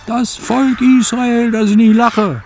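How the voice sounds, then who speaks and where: Creaky, sardonic preacher's scholar son. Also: male voice, extremely high pitch, steady tone, slow pace, darkish tone, slight background noise, heavily acted. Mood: mocking. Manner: sardonic, theatrical, quotes others mockingly. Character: embittered scholar son of a preacher, recalling childhood. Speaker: interviewee. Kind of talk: radio drama acting, reminiscing monologue.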